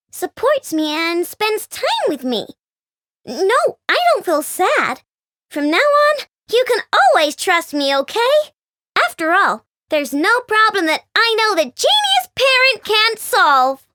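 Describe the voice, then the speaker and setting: Game voice: a bubbly little girl inventor, very high, bright, lively, unhurried, dry studio sound. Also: dry recording, heavily acted. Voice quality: bubbly, childlike and bouncy. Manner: playful, wheedling, with gasps and giggles. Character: cheerful young girl inventor, sugar-loving and playful. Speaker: game character voice. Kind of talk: game voice lines.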